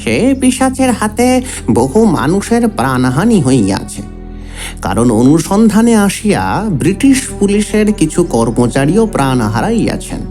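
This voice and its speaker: A man's voice: fairly high pitch, big pitch swings, measured pace, warm tone, soft background music. An actor playing an earnest sixteen-year-old male disciple, deferential and formal, in a horror audio drama. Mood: formal, grave. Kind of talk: dramatised audio story, formal letter reading.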